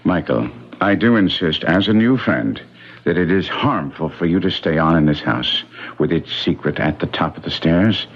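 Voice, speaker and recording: Deep-voiced, probing gentleman lawyer. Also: animated, husky, full character voice. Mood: probing, concerned. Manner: formal, deliberate, inquisitive. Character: probing, protective gentleman lawyer, narrating his tale. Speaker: actor and narrator in a radio mystery drama. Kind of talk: old-time radio drama acting. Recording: muffled vintage radio recording with music.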